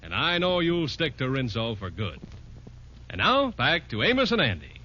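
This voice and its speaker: A man's voice: mid-range voice, huge pitch swings, normal speed, over loud music. A man announcing a vintage radio commercial in an emphatic, polished sales style. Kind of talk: 1940s radio advertising pitch. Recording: muffled, noisy vintage radio recording.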